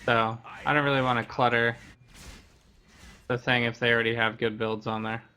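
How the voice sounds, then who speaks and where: A man talks through a game for his stream in a casual, explanatory way. His voice has a bright, crisp edge. The intonation stays fairly level as he chats. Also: mid-range voice, level tone, normal speed. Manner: explanatory, conversational. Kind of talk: casual gameplay commentary.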